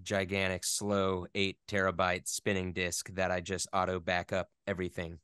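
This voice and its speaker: A man's voice: low-pitched, monotone, moderate pace, fairly bright tone, clear, resonant tone, no echo, studio quality. A man being interviewed in a casual chat, speaking in a rambling, hesitant way with geeky enthusiasm. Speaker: interview guest, voice actor.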